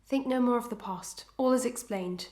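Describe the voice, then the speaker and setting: A woman playing an anguished, proud and dutiful wife in a Victorian melodrama, with formal archaic diction and fervent, deliberate delivery. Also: a little high, darkish tone, roomy sound, close to an everyday voice. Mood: formal, strained. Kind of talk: old-fashioned melodramatic stage acting.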